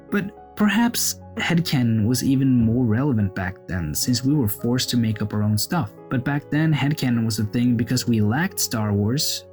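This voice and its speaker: Thoughtful man, halting delivery. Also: mid-range voice, darkish tone. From Norway. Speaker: viewer contributor. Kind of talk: read-out personal statement.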